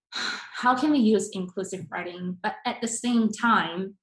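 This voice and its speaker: A young woman from Singapore hosting a casual interview, chatty and quick to restart her sentences. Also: medium pitch. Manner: chatty, restarts often, many fillers. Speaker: podcast host. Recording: echoey room.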